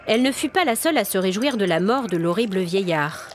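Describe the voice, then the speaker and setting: A woman's voice: medium pitch, expressive, fairly quick, soft background music. A woman storyteller reading scripted narration of a spooky folk tale.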